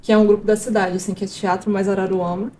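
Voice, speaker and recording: A woman's voice: mid-range voice, flat delivery, quick pace, mellow, a little hiss or hum. A woman, a guest chatting casually in an interview, rambling with fillers and restarts. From Brazil. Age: 30s. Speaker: guest actress and producer.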